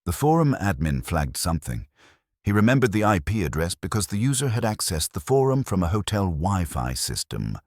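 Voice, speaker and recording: A man voicing a calm, clipped private investigator reporting facts with a slightly uneasy edge in an audio story. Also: sits low, a little fast, no room sound, clean studio sound, exaggerated voice.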